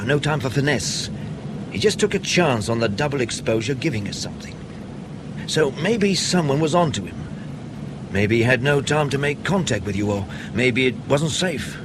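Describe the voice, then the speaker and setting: Radio play: male actor as British spymaster debriefing an agent, mid-range voice, dry, wry, persistent, measured. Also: mid-range voice, expressive, natural voice. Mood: probing, insistent. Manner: pressing, measured questioning with dry understatement. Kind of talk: naturalistic radio drama acting. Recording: clear ambient noise behind the voice.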